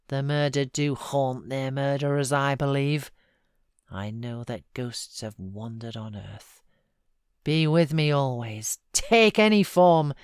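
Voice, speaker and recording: A man's voice: on the high side, clean tone, dry recording, studio quality. A woman voicing a vehement, grief-stricken man in a dramatic audiobook reading, with impassioned outcries. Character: anguished, vehement man raging in grief and passion.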